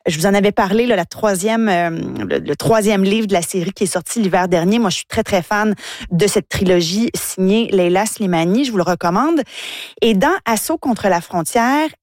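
Measured woman reviewing books. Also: mid-range voice, a little mellow, no echo, studio quality. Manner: measured, informative. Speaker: radio book columnist. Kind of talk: radio book review. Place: radio studio.

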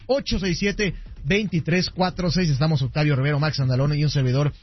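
A man hosting a sports radio show, giving measured analysis and taking calls. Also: fairly high pitch, steady tone, husky. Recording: dull lo-fi radio broadcast.

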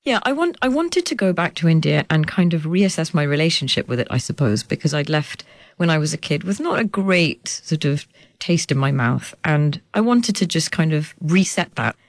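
A woman in her forties talks as an interview guest, her voice carrying a light gravelly edge. She is animated and quick to laugh, her pitch swinging up as she gets excited. The sound is dry and close. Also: no echo. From United Kingdom. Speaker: journalist and author guest. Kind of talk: conversational interview.

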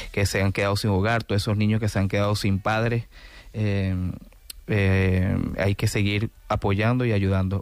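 Venezuelan guy in a radio studio, low smooth voice, lots of ehs, dry close sound. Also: no echo. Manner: earnest, hesitant, many fillers and restarts. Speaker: orchestra director. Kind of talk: radio interview guest.

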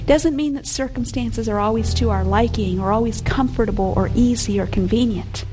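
A woman's voice: fairly high pitch, over music. A woman teaching on gratitude and reading scripture aloud with earnest emphasis. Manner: earnest, slows to near whisper on scripture. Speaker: Bible teacher.

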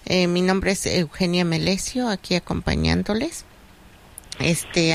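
A woman hosts a community radio show and introduces her guests without hurry. Her voice sits low for a woman, and she uses fillers now and then. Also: background noise. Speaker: radio host.

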